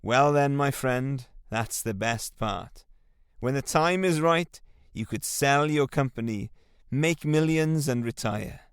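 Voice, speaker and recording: A man voicing an eager, pushy businessman pitching big plans in a salesy manner, his voice raised and louder than his own. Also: clear, resonant tone, no room sound, studio quality. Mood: persuasive.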